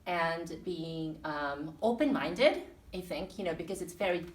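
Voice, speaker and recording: A woman's voice: low-pitched, measured pace. A woman from the Philippines and Guam in her thirties, a teacher answering interview questions thoughtfully with many fillers and restarts. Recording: echoey room, mic at a distance.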